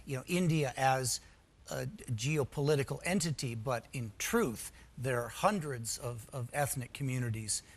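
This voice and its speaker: A man of ordinary pitch hosts the interview. He asks long, rambling questions and slips in wry, teasing remarks. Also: normal speed.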